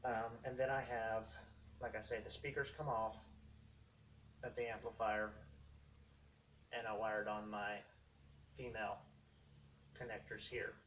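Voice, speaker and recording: A man hosting a casual how-to video, talking through equipment step by step in short, halting phrases. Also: lowish voice, little pitch movement, fairly bright tone. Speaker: host of a how-to tech video series. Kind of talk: casual unscripted product walkthrough. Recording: distant mic, faint background noise.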